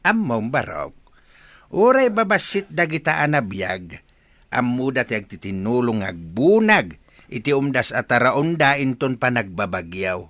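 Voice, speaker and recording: A man's voice: medium pitch, very animated, a little slow, fairly bright tone, no room sound. A man with a northern Philippine accent playing an elderly village father who frets and admonishes in a comedy radio drama. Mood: fretful, advising. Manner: scolding, advising.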